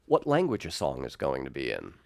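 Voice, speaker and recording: A man hosting a conversational studio music interview, asking thoughtful questions in a halting, exploratory way. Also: very deep voice, huge pitch swings, creaky, darkish tone, no echo. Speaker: radio host and interviewer. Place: radio studio.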